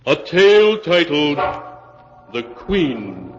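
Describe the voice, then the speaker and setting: A man's voice: on the high side, big pitch swings, slow pace. A man narrating a scripted 1940s radio mystery as announcer, solemn, portentous and theatrical. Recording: muffled old-time radio recording.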